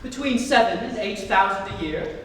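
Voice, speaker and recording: Young man as earnest gentleman suitor, very echoey hall. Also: high voice, expressive, normal speed. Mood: polite, uneasy. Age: young adult. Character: earnest, nervous gentleman suitor aged twenty-nine. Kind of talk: stage dramatic reading of Victorian comedy. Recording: distant echoey hall, faint background noise.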